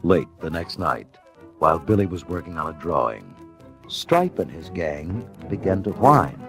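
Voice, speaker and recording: Male storybook narrator: low voice, hugely expressive dramatic reading, music bed underneath. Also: a little slow.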